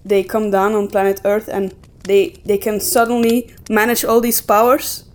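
A woman's voice: medium pitch, level tone, normal speed, darkish tone. A woman co-hosting a podcast, talking casually and giving emphatic opinions. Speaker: podcast co-host. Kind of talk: casual discussion of storytelling.

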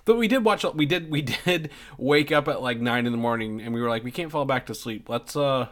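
A man's voice: mid-range voice, lively intonation, a little fast. A man co-hosting a casual podcast, congested from the flu, rambling with lots of fillers and restarts. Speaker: podcast co-host.

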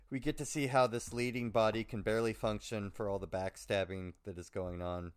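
This is a man with a fairly low voice, reading a prepared chapter summary for a book podcast. His delivery is dry and wry, and he slips in sardonic asides as he goes. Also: clean tone. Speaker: podcast co-host.

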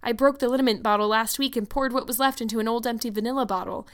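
A woman voicing a stern, practical older female guardian in a storybook, with a brisk, blunt, scolding manner. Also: on the high side, steady tone, lightly acted. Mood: exasperated. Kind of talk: storybook character voice.

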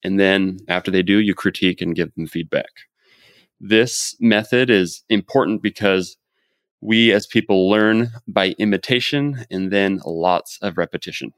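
A man's voice: sits low, unhurried, fairly bright tone, dry recording. A man hosting a podcast, chatting and summing up advice in an upbeat coaching tone. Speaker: podcast host. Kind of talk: casual podcast chat and summary.

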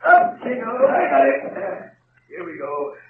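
An actor playing a gruff, callous prison guard, offhand and colloquial, in old radio drama style. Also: male voice, on the high side, very animated, a little slow. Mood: gruff, straining. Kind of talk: 1930s-40s radio drama acting. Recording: distant, noisy, tinny old radio recording.

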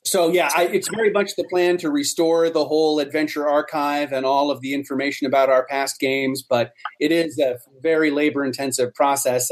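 A man's voice: mid-range voice, low-quality recording. A middle-aged man giving casual, explanatory interview answers, often repeating words as he thinks. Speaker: interview guest, member of a hobbyist society.

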